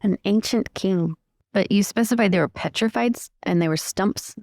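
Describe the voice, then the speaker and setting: A woman's voice: mid-range voice, moderate pace, darkish tone, no echo. A woman playing a tabletop roleplaying game, casually speaking in character and asking questions.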